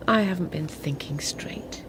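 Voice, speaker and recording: An actress playing a gentle, apologetic wife in her sixties, hesitant and flustered in a naturalistic radio drama. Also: deep voice, in a whisper. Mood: flustered, ashamed. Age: 60s. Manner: hesitant, halting.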